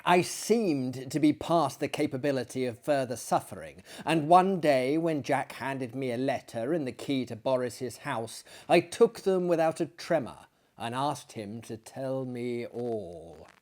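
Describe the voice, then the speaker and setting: A man voicing a grieving young narrator in a dramatic, measured, mournful storybook reading. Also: mid-range voice, lively intonation, darkish tone, close to an everyday voice. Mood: numb sorrow.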